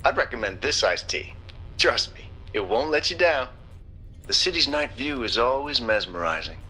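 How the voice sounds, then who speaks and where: A man's voice: medium pitch, lively intonation, normal speed, sharp tone, a little hiss or hum, low-quality recording. A man voicing a suave, chatty, flirtatious drinks vendor in a video game, with a friendly salesman's patter. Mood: flirty, upbeat.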